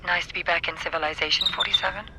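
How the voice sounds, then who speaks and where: An actress playing a composed, businesslike mission handler in a game cutscene, heard through a radio transmission. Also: female voice, fairly low pitch, steady tone, rapid-fire. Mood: serious, businesslike. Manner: crisp, businesslike briefing. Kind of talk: cinematic game cutscene acting. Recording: noisy radio link with clicks.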